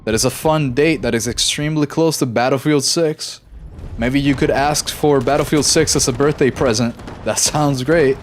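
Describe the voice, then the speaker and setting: A young man live-streaming a shooter game, chatting casually with his viewers and reacting quickly during fights. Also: mid-range voice, soft background music. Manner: easygoing, chatty, reads chat aloud, quick reactions mid-fight. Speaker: gaming streamer. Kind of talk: casual live-stream chat while playing.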